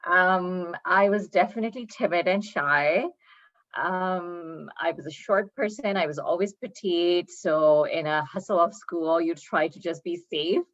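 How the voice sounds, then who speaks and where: A woman's voice: on the low side, unhurried, fairly bright tone, highs cut off. A woman in her thirties from Pakistan, chatting as a guest in an interview with lots of ums and small laughs. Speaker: poet and guest. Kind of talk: casual interview talk.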